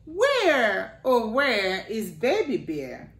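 Caring storybook mama bear, played by a woman, high, swooping sing-song calls, echoey room. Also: huge pitch swings, extremely slow, a bit bright, heavily acted. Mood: calling, caring. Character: caring mother bear searching for her cub. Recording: roomy home echo.

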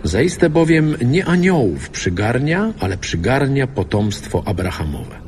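A man reads scripture earnestly, as if explaining it. His mid-pitched voice moves with lively intonation over faint background ambience. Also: unhurried, a little hiss or hum. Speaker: scripture reader. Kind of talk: scripted reading of an epistle.

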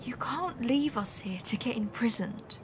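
Woman playing a highish, animated fugitive gambler. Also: unhurried. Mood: desperate. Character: desperate, outspoken young female fugitive gambler. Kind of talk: audio drama acting. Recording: faint background noise.

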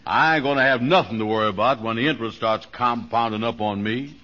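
A man's voice: medium pitch, quick pace, pushed and bright, lightly acted. An actor playing a boastful, naive, self-important man with folksy, ungrammatical delivery in a vintage radio comedy. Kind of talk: 1940s radio comedy acting. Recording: dull vintage radio recording.

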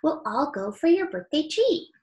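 An excitable little man from a storybook tree, as a woman reader puts him on. His voice sits high and leaps around with eager urgency. Short bright calls to the others. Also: normal speed. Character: excitable male magic-tree dweller Moonface. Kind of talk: read-aloud storybook character voice.

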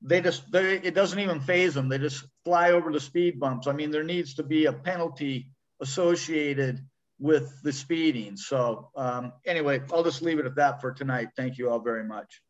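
Higher-voiced man on a dull lo-fi line. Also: normal speed. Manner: conversational, rambling with you know and um. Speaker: public commenter at council meeting.

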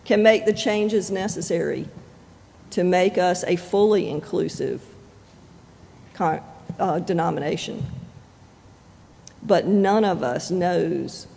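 A pastor preaching a sermon in measured, deliberate phrases with frequent pauses. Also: medium pitch, gravelly, a little mellow. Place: church sanctuary. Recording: long reverb of a church sanctuary.

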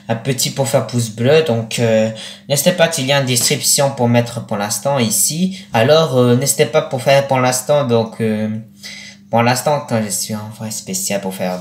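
A young man in his late teens speaking casually for a vlog, in a rambling, repetitive and halting way. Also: mid-range voice, a little hiss or hum. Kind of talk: casual vlog with acted-out conversations. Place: small attic bedroom, indoors. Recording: roomy, echoey webcam-style recording.

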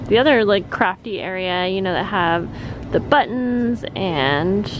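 A woman's voice: medium pitch, measured pace, lo-fi sound. A woman vlogging casually as she shops, talking informally and in a friendly way to her viewers. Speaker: vlogger, host. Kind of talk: casual chatty shop-along and haul commentary. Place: large discount store, indoors. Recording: noisy store ambience.

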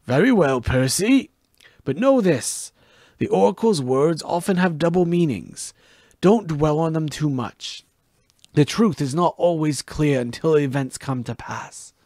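A man voicing a wise old male teacher who speaks gravely. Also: on the high side, unhurried, darkish tone, no room sound, studio quality, pitched above the performer's own voice.

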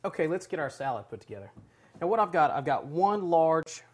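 A man's voice: fairly high pitch, very animated, brisk. A man in his forties hosting a home cooking show, talking casually and enthusiastically while he works in a large kitchen. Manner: chatty, enthusiastic, talks while working.

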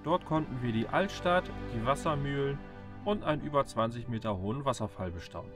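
A man's voice: mid-range voice, lively intonation, fairly quick, loud music behind the voice. A man giving a scripted voice-over narration as a travel vlogger.

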